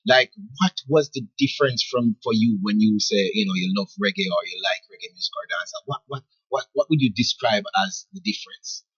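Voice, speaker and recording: A man hosting a radio interview, lively and quick to laugh, echoing back his guest's answers. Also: medium pitch, husky, lo-fi sound. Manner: animated, laughs often, repeats guest's words. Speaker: radio show host.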